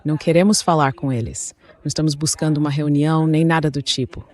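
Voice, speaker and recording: A man's voice: on the high side, flat delivery, quick pace. A man in a dubbed exchange with the press.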